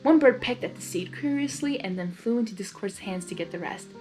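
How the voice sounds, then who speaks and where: A woman reading fan fiction aloud as expressive narration, with casual chatty asides. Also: medium pitch, fairly quick, faint music behind.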